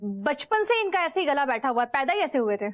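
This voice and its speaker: A polished, high-voiced modern wife. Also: female voice, big pitch swings, a little fast, no echo, clean studio sound. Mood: insistent. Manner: crisp, mixes English words into Hindi. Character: modern upper-class wife, polished and insistent.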